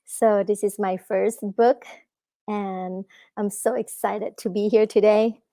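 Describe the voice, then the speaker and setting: A woman's voice: medium pitch, fairly even intonation, mellow, dry recording, clean studio sound. A woman, an author giving a live talk at a book festival, hesitant with many fillers. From Thailand. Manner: hesitant, many fillers, halting non-native English.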